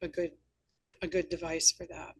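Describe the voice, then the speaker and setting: A woman host and novelist talking conversationally about writing, with measured, articulate phrasing. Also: lowish voice, level tone, slightly rough. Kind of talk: conversational literary discussion.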